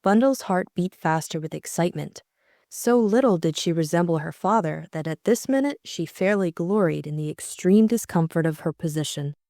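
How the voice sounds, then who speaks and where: A woman's voice: on the low side, animated, moderate pace, darkish tone, no echo, studio quality. A woman reading a classic mystery audiobook as scripted dramatic narration.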